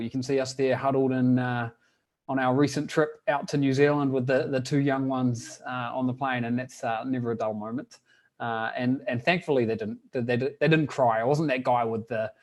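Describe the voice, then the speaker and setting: A man in his thirties co-hosts a webinar in a casual, modest voice. He talks haltingly, leaning on fillers between phrases. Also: mid-range voice. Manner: hesitant, filler-heavy, modest. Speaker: co-host of an online business event.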